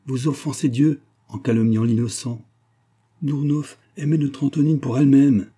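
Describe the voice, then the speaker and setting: Man playing a grave old female servant, husky. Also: medium pitch, steady tone, moderate pace, mellow, voice pushed up, lightly acted. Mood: solemn reproach. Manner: solemn, plain-spoken, firm.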